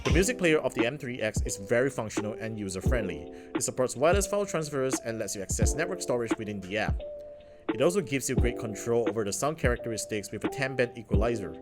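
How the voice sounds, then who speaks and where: A man talks through a gadget's features in an upbeat, casual review style at a mid-range pitch. Clear background music plays under his voice the whole time. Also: animated, fast. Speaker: tech reviewer.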